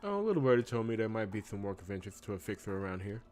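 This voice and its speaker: A man's voice: on the low side, big pitch swings, rapid-fire, a little mellow. A man voicing a guarded but sympathetic cyberpunk detective in a casual, wry way for a visual novel. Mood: reluctant, wry.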